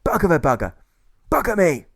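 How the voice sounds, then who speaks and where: A man's voice: on the high side, sing-song, a little fast, dry recording, clean studio sound, pitched above the performer's own voice. A man voicing a frenzied, bloodthirsty male provost marshal who screams for blood.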